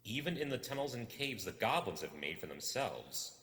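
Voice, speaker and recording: A man reading a story as dramatic, suspenseful narration, theatrical and full of deliberate pauses. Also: medium pitch, big pitch swings, fairly bright tone. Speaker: storybook reader. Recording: echoey, long reverb.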